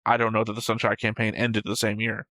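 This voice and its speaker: A man's voice: on the low side, completely flat delivery, fast, pushed and bright, no echo, studio quality. A man casually discussing a show as a reaction host, stating and correcting details in a matter-of-fact way. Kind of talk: casual discussion of an animated series.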